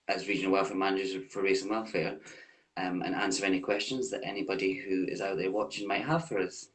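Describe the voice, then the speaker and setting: A man's voice: low voice, rough recording. A Scottish man from the west coast, a welfare manager chatting in a friendly way with hesitant ums. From west coast of Scotland. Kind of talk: casual chat.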